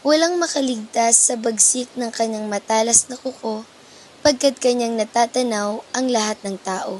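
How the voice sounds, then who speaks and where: Higher-pitched woman narrating an epic. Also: normal speed, darkish tone, slight background noise. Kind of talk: scripted dramatic narration of an epic poem. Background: faint music.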